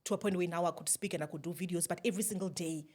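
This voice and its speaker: A South African woman telling her story in a casual interview, animated, laughing often and slipping in local phrases. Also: fairly low pitch, very fast. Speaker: guest, YouTube creator.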